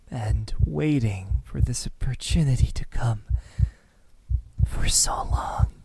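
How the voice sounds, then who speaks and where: Man acting as slow, low, menacing young kidnapper. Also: dry recording, full character voice. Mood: soft, unmasked relief. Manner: soft, deliberate, breathy sighs, dropping into whispers. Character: menacing yandere male student kidnapper, calm and smug. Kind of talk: ASMR roleplay acting. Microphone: close microphone.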